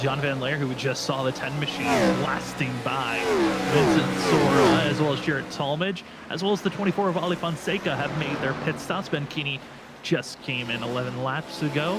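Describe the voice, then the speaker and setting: A man's voice: mid-range voice, moderate pace. A man giving live play-by-play motorsport commentary as a race broadcaster. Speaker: play-by-play sim racing commentator. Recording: loud engine and vehicle noise.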